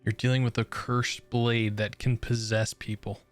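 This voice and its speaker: A man's voice: fairly low pitch, level tone, moderate pace, soft background music. A man doing casual game reaction commentary as a streamer, with slangy, brief interjections. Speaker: gaming streamer.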